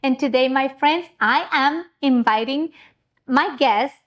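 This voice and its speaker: A woman's voice: sits high, unhurried, dull audio. Chatty woman host from Ecuador. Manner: effusive, chatty, trips over words. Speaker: talk show host. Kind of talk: casual interview chat.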